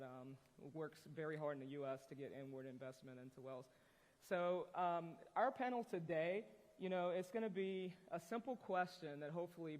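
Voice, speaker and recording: A woman's voice: low-pitched, darkish tone. A woman moderating a business panel, chatty and loose with many fillers. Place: conference hall. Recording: long reverb in a large hall.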